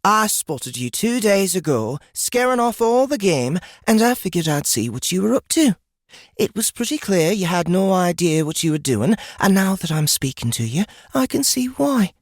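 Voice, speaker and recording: Brash fantasy woodswoman acted by a narrator, raised pitch, blunt, amused, swooping delivery, dry sound. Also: sing-song, moderate pace, no echo. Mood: wry, blunt. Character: brash, mocking, experienced woodswoman of fantasy world.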